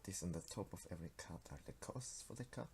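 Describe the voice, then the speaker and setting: A man's voice: low voice, expressive, fast, darkish tone, slight background noise. A teenage man from Switzerland with a Swiss German accent, a native Swiss German speaker, casually talking through his card game in a devlog, hesitant, with many fillers and restarts. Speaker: game developer. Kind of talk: casual screen-recorded devlog.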